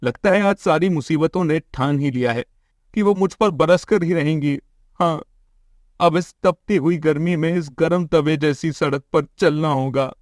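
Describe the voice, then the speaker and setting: A man's voice: a little high, unhurried, a little mellow, no echo, clean studio sound, pitched above the performer's own voice, close to an everyday voice. A man voicing a poor, troubled family man, earnest and plaintive, in a cartoon moral story. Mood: anxious, pleading. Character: poor, distressed, pleading young family man.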